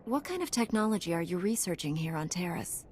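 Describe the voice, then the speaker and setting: An actress playing a dutiful, dryly confident warrior knight in a video game, calm and self-assured. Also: female voice, lowish voice, a little fast, slight background noise. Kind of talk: video game character acting.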